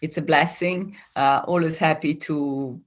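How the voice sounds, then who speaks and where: A woman from Italy with an Italian accent, a coach calmly explaining ideas as a guest over a video call. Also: deep voice, a little slow. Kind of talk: explanatory interview talk.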